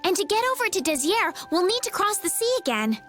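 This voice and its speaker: A sweet, idealistic young lady of a fantasy game, polite and proper in her phrasing. Her voice is extremely high and lilts with lively movement over faint music. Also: brisk, exaggerated voice. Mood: hopeful, excited. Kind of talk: video game voice acting.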